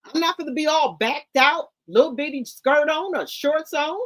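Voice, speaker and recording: Blunt, lecturing high-voiced woman. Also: normal speed. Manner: blunt, lecturing, preachy with slang. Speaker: commentary host. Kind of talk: casual livestream commentary.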